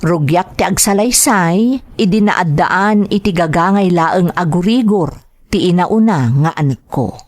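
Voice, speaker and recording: A woman with an Ilocano accent narrating a drama and playing a worried mother, speaking softly and anxiously, sometimes tearful. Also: lowish voice, measured pace. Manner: anxious, tearful, soft-spoken.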